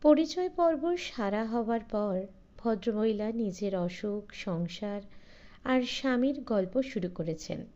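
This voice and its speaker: A woman reads a story aloud in a slow, measured way, her voice somewhat high but warm and mellow. The recording sounds dull and lo-fi. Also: expressive. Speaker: narrator. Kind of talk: audiobook story reading.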